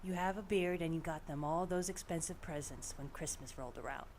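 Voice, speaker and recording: A woman's voice: low voice, fairly quick, a little mellow, a little hiss or hum, lightly acted. A woman playing a practical, caring middle-aged investigator in an audio drama, talking in a plain, conversational way. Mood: warm, reassuring. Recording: music behind the voice.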